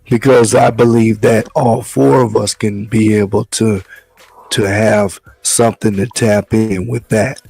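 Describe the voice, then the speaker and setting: A man hosting a casual talk show, hoarse from the flu, speaking in a measured, rambling way. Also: mid-range voice, darkish tone, rough recording. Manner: measured, loose, repeats words as he talks. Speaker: show host.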